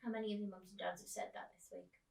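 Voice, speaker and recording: A woman reading a children's story aloud as a teacher, with expressive narration. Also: mid-range voice, fast, vocal fry. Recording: roomy echo, distant microphone.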